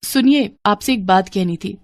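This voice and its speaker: A woman voicing a gentle, devoted young wife in a dramatised moral story, earnest, soothing and respectful. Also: medium pitch, normal speed, noisy background, natural voice.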